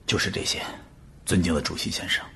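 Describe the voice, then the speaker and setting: A man playing a grave professor, giving a measured, formal briefing in an audio drama. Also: low voice, gravelly, pushed and bright, a little hiss or hum, full character voice. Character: grave male professor calmly briefing world leaders.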